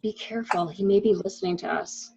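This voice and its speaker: A woman's voice: mid-range voice, fairly even intonation, brisk, dark tone, slight background noise, close to an everyday voice. A woman acting a fretful, secretive wife who covers for her lover, in a dramatic mystery reading with dull sound. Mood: fearful.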